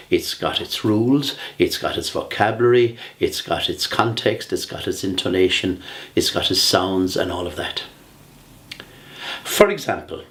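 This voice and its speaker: A man in his sixties with an Irish accent, giving a humorous prepared speech in a measured, theatrical way with deliberate pauses. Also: on the low side. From Ireland. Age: 60s. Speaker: humorous public speaker.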